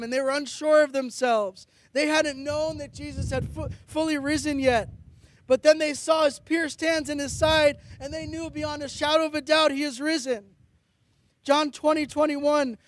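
A middle-aged man preaching a sermon as a pastor, earnest and declamatory, reading out scripture. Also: very high voice. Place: outdoors on a high ledge in a blizzard. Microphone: handheld or stand microphone close to the mouth.

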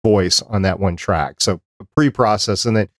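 A middle-aged man with a lowish voice hosts an interview podcast. He speaks conversationally, in a measured, explanatory way. The sound is dry and close, from a desk mic in a home studio. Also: no echo. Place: small home studio room, indoors. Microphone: close desk microphone with headphones.

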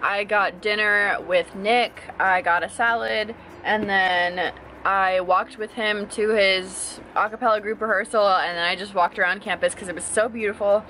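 A woman's voice: mid-range voice, steady tone, sharp tone, slight background noise, poor audio. Chatty young woman vlogging to camera. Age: young adult. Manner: chatty and bubbly, quick asides. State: stuffed up nose from allergies. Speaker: college student vlogger. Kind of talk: casual vlog chat to camera. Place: college dorm room, indoors. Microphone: camera held at arm's length.

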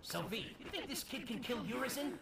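Wisecracking game demon bird: raspy, squawky, animated, sarcastic street slang. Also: male voice, very high voice, brisk, noisy background, full character voice. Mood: sarcastic, teasing. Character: wisecracking male demon bird sidekick, brash and mouthy. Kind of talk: video game character acting. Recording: loud music behind.

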